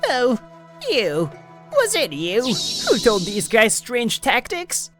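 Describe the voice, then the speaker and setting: A woman's voice: on the high side, wildly swooping pitch, slow, over music, heavily acted. A woman voicing a cowardly, scheming male company boss who stammers and whines. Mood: defensive. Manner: stammering, whiny, then smug. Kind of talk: manga video voice acting.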